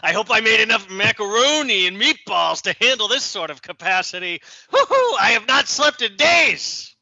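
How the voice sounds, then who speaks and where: A man playing an excitable, oddball video store fanboy in a comedic audio play. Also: high-pitched, moderate pace, creaky, no echo, lo-fi sound, exaggerated voice. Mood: giddy, frazzled.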